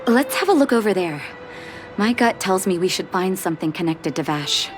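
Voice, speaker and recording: A woman voicing a gentle, composed female doctor with quiet sorrow in video game story dialogue, soft and reflective. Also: medium pitch, lively intonation, moderate pace, natural voice. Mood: calm, friendly. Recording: background game music.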